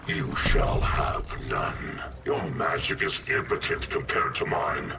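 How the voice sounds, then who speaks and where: A man's voice: slow pace, over loud music. A man playing a solemn, imperious sorcerer in a video game, with grave pronouncements and otherworldly processing. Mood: stern, solemn. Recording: echoing, distant, under loud noise.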